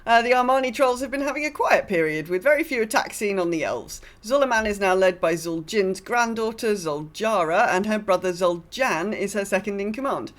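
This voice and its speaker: A woman's voice: mid-range voice, lively intonation, a little fast, hardly any pauses. A woman co-hosting a podcast, reading a game lore recap in a casual way with dry, sardonic asides. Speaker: podcast co-host.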